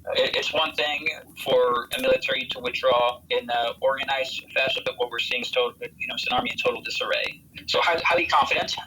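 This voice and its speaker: An American man giving earnest interview answers as a volunteer soldier, speaking haltingly as he searches for words. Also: medium pitch, lively intonation, pushed and bright, slight background noise, low-quality recording. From United States.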